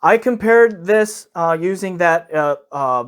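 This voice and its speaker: A man's voice: high voice, animated, takes it slowly, smooth. A man reviewing gear casually, explaining things with emphatic stresses and careful qualifications. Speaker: product reviewer.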